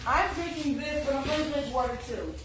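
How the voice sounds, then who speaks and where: Lady with a high swingy voice griping playfully at family, roomy noisy phone audio. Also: fairly quick, a crowd in the background. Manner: playfully complaining, dramatic. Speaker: family member chatting on a vlog. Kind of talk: casual banter. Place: open-plan home living room and kitchen, indoors.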